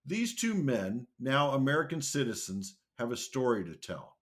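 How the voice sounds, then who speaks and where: An enthusiastic man hosts an interview podcast, his voice rising and falling in a lively, animated way. He opens with a scripted introduction and then moves into relaxed questions for his guests. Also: medium pitch, normal speed. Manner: upbeat, enthusiastic, lively delivery. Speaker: podcast host. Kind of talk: hosted interview.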